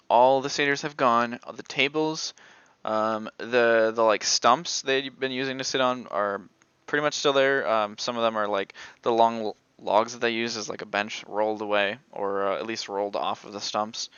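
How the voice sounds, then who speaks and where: A game master of unclear gender runs a tabletop game in a casual, conversational way. The voice is bright and clean, with a middle pitch and a dry, close sound. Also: clear, resonant tone, dry recording, lo-fi sound.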